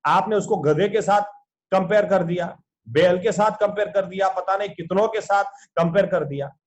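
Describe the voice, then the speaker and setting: A man with a notably high voice delivers an earnest sermon as a pastor over a video call. He often stops to pose rhetorical questions to his listeners. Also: moderate pace.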